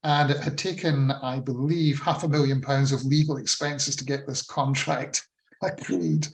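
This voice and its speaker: A man with a Scottish accent presenting slides on a video call, measured and with frequent ums and uhs. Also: mid-range voice, fairly even intonation, reverberant, poor audio. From Scotland. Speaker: presenter on a funding model. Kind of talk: talk with screen-shared slides.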